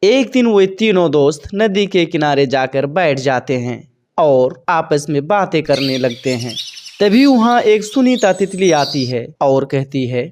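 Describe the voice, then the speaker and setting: A woman reading scripted narration for a children's animated bird story. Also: low voice, measured pace.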